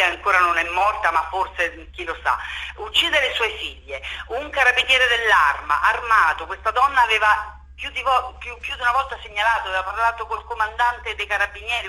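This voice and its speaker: A woman's voice: on the high side, bright, forceful tone, rough recording. A woman speaking spontaneously and emphatically as an interview guest, repeating words and tagging phrases with "no?". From Marche, Italy. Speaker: theatre director, interview guest.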